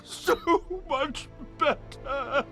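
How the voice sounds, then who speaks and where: An actor voicing a deranged, childlike villain who fancies himself an artist surgeon, theatrical and halting, in a video game. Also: male voice, very high-pitched, fairly even intonation, bright, forceful tone, heavily acted. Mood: whining, distraught. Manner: theatrical, halting, third-person childlike speech. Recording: music behind the voice.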